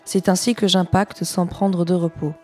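A young woman reciting a rhymed first-person poem as a young female resistance fighter, in a steady delivery. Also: fairly low pitch, normal speed, faint music behind, close to an everyday voice. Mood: determined.

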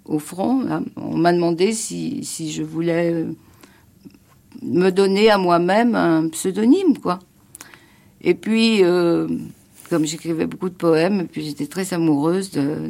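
A woman's voice: fairly low pitch, a little slow, creaky, a little mellow. An older woman recalling events in an archival interview, speaking hesitantly with many restarts and fillers. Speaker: former resistance member interviewed.

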